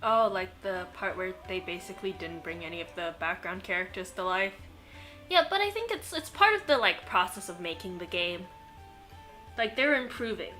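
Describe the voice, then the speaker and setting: Female game streamer, bright forward voice, enthusiastic casual commentary, faint music underneath. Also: fairly low pitch, moderate pace. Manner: giggly, enthusiastic, excitable. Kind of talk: casual gameplay commentary, chatting.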